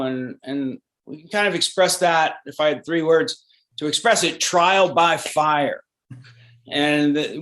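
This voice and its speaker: An actor being interviewed, talking in a rambling, thoughtful way with many restarts. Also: male voice, a little high, a bit bright. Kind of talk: casual interview.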